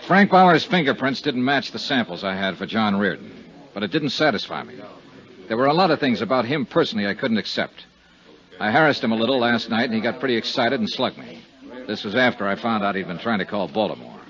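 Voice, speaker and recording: A man's voice: fairly low pitch, animated, brisk, fairly bright tone. A man playing a tough, terse American insurance investigator in a 1950s radio detective drama. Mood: defensive. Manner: terse, matter-of-fact. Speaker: actor playing lead investigator and narrator. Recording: muffled 1950s radio recording with music.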